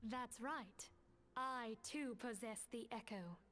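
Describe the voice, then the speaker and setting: An actress playing a composed, solemn woman who leads a secret order in a fantasy video game, speaking in a measured, formal way. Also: on the high side, a bit bright. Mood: grave. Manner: measured, formal, stately delivery.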